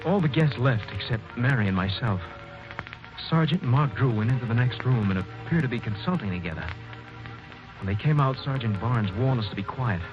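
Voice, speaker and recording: A man's voice: medium pitch, natural voice. A man playing a shaken young man accused of murder in a 1940s radio drama, narrating his ordeal and stammering when nervous. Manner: stammering, anxious. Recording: lo-fi 1940s radio with music bed.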